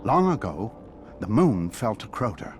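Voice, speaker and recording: A man playing a solemn lore keeper in a video game, telling a dark legend in a grave, measured way. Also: lowish voice, big pitch swings. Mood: ominous. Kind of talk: dramatic game narration. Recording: music behind the voice. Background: game music.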